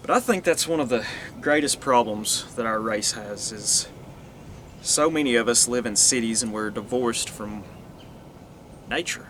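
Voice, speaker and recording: A man's voice: mid-range voice, a little slow, a bit bright. Guy rambling on about stuff, regular mid voice, bit of road noise in back. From Northeast Tennessee, USA. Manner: rambling, earnest, restarts and trails off. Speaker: vlogger. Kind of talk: casual monologue to camera.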